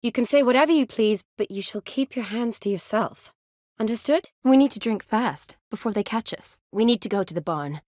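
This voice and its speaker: A woman's voice: fairly high pitch, animated, moderate pace, darkish tone, no echo, studio quality, natural voice. A woman playing a spirited, caring young medic who is frank and teasing, in naturalistic video game dialogue. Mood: firm.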